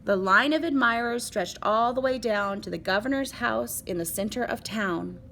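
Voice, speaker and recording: A woman reading a children's picture book aloud with an expressive, rhythmic delivery. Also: a little high, normal speed, vocal fry, a little hiss or hum. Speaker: storybook reader.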